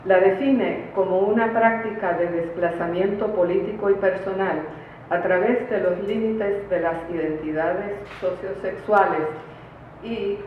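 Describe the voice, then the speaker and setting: A woman's voice: medium pitch, fairly even intonation, measured pace. Echoey, distant woman reading a lecture. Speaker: academic conference speaker. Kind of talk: formal academic lecture read from a prepared paper. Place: conference room, recorded from a distance. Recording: distant, very echoey room, phone-like, faint noise.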